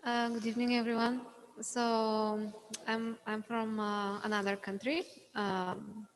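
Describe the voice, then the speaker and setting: A woman's voice: a little high, level tone, takes it slowly. Hesitant woman speaking in a hall. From Bulgaria. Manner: hesitant, many fillers and restarts. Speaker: audience member sharing. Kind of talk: spontaneous personal sharing. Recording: long reverb in a hall.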